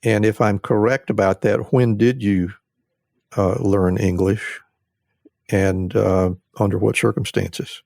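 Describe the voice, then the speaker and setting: Gravelly, hesitant male interviewer. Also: on the low side, fairly even intonation, takes it slowly, darkish tone, dry recording, studio quality. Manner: hesitant, full of "uh" fillers and restarts. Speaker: podcast host.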